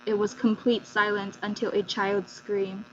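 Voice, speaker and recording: A woman's voice: mid-range voice, flat delivery, measured pace. A woman telling a scripted folktale as narrator with a dramatic storytelling delivery. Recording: muffled lo-fi, faint hum.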